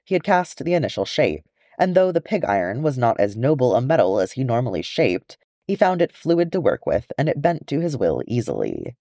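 Male narrator, fantasy audiobook, very animated pitch, mellow tone, dry close sound. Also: a little fast, dry recording. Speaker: audiobook reader of fan fiction. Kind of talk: expressive scripted story narration.

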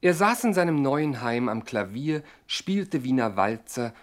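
A man narrating a 1960s radio comedy from a script in a droll, deliberate way. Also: mid-range voice, big pitch swings. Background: plucked string music.

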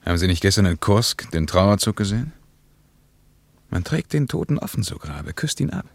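An actor playing an earnest, reflective war veteran on a journey in a radio play, speaking in a deliberate, philosophising way. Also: male voice, sits low, very animated, vocal fry, no room sound, full character voice. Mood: earnest, musing.

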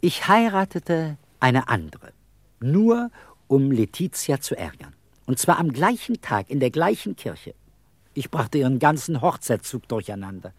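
Casting: eccentric, talkative middle-aged beggar with bourgeois airs, very lively pitch, dry close sound, radio drama. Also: male voice, a little slow, dry recording. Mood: bitterly amused. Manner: theatrical, rambling storyteller, wry. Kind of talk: radio play acting.

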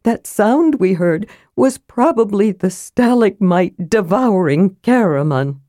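A woman's voice: medium pitch, lively intonation, takes it slowly, mellow, dry recording, clean studio sound. A woman voicing a small, curious and chatty male kender adventurer in a fantasy audiobook, with earnest, childlike enthusiasm. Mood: solemn.

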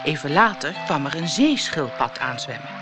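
A woman reading a scripted children's audiobook in an expressive storytelling manner. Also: low-pitched, normal speed, music in the background.

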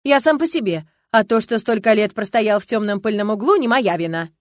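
A woman's voice: sits high, big pitch swings, fairly quick, no echo, voice pushed up. Woman voicing a haughty, whiny young gargoyle lad. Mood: offended. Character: cheeky, sly, pouty young male gargoyle with airs.

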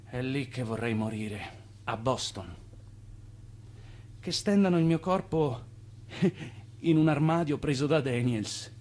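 An actor playing an ailing, stubborn old Italian swindler in a radio drama, weary but proud, with a wistful, theatrical delivery. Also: male voice, medium pitch, expressive, takes it slowly, long pauses, darkish tone, a little hiss or hum.

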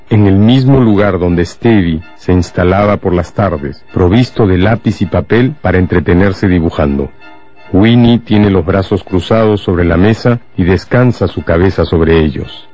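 Deep-voiced man narrating a radio drama. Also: dark tone, slightly rough. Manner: measured, dramatic storytelling.

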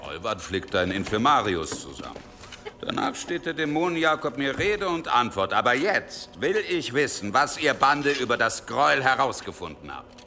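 An actor playing a menacing gang leader, gravelly, commanding and sneering, in a radio drama. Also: male voice, medium pitch, very animated, moderate pace, bright, forceful tone, a little hiss or hum. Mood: mocking, threatening. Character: menacing, mocking, illiterate male gang leader.

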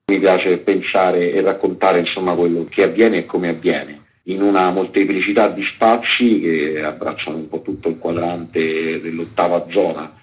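A man's voice: low-pitched, fairly even intonation, normal speed, hardly any pauses, soft background music, low-quality recording. A man who works as an actor, speaking off the cuff in a radio interview with rambling, improvised phrasing. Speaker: actor and author, radio guest. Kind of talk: unscripted interview talk.

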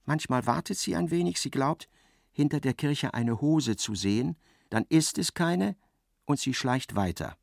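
A man narrates a literary radio play in a mid-range voice. His delivery is expressive and dramatic, with a very mobile melody, recorded dry and close. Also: mid-range voice, creaky, no room sound.

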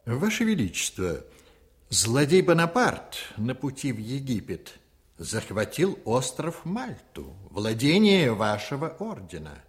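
A male actor playing a calculating, persuasive Jesuit priest with a measured, insinuating delivery in a historical radio drama. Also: mid-range voice, wildly swooping pitch. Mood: urgent persuasion.

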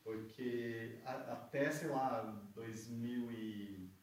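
A man's voice: mid-range voice, steady tone, drawn-out words. A man with a Brazilian Portuguese accent from the São Paulo interior, talking reflectively in a casual conversation. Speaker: artist guest. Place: theatre garage space. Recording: roomy echo, distant mic, faint noise.

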